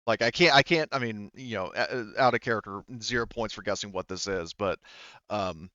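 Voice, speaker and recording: A man with a low, smooth voice acts as game master of a tabletop roleplaying game. He explains in a halting, self-correcting way. The recording is dry and slightly dull. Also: pushed and bright, no echo.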